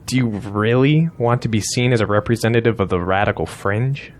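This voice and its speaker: A man's voice: fairly low pitch, fairly quick, slight background noise, natural voice. An actor as a blunt male campaign strategist. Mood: insistent. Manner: blunt, insistent, lecturing. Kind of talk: audio drama acting.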